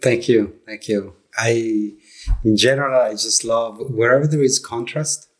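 A middle-aged man from Italy with an Italian accent, speaking English as a second language and answering interview questions in a reflective, halting way. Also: medium pitch. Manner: reflective, halting, with many fillers and restarts. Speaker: guest, leadership coach.

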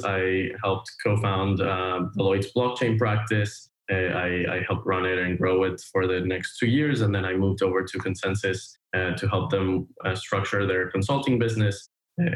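A man from Venezuela with a low voice answers interview questions. He speaks in a flat, even tone and halts often on restarts and fillers. His voice echoes in a roomy space. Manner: halting, with many restarts and fillers. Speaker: crypto wallet co-founder, guest.

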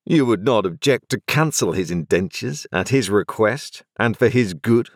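Brusque formal lawyer voiced by a man, clipped, interrogating, lively rising pitch, dry close sound. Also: moderate pace, no room sound. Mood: stern, formal. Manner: clipped, formal, cross-examining. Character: brusque, overbearing middle-aged male lawyer. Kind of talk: audiobook character voice.

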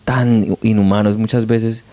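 A man hosting a relaxed interview, encouraging his guest with quick affirmations. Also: fairly low pitch. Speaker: interview host. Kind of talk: casual interview chat.

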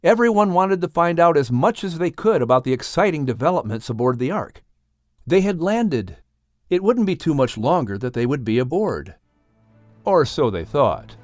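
A man narrates a children's adventure story with a very animated, expressive delivery. The recording is dry and close. Music plays faintly behind him. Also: fairly high pitch, normal speed, dry recording. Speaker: audiobook narrator.

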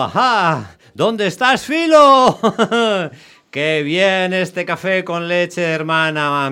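Actor as bright, drawling Italian petty thief. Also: male voice, on the high side, smooth. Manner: hesitant, drawling, peppered with mock-Italian words. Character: chirpy, apologetic Italian petty thief, just out of jail. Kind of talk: radio drama acting.

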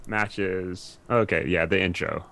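A man's voice: low voice, fairly even intonation, slow, fairly bright tone. A man chatting casually as a podcast guest, in a laid-back conversational way. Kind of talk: casual chat.

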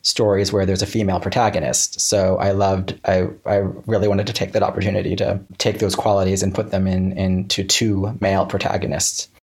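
A man's voice: low voice, little pitch movement, fairly quick, few pauses, husky. A man chatting casually as an interview guest, a writer who talks in stops and starts and often acts out bits of past conversations. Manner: chatty, hesitant false starts, quoting himself conversationally.

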